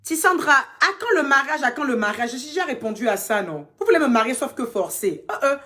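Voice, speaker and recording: A woman's voice: high voice, lively intonation, brisk, pushed and bright. Chatty Ivorian woman answering fans. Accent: Ivorian French. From Côte d'Ivoire. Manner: chatty and teasing, calls fans "ma chérie". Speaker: social media personality answering fan questions. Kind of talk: casual live Q&A reading viewer comments.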